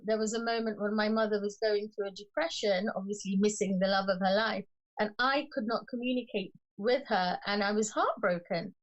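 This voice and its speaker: A middle-aged woman with a mid-pitched voice speaks as a panelist on spiritual matters. She teaches through personal examples in an explanatory style. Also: rough recording.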